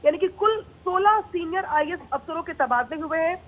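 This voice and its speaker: A woman news reporter giving a live report in an explanatory, halting way with repeated words. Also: extremely high pitch. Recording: tinny narrow phone line.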